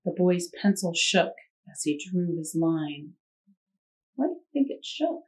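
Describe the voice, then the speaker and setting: A woman reading a picture book aloud to children, expressive, pausing to ask gentle prompting questions. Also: lowish voice, low-quality recording. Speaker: puppet show storyteller.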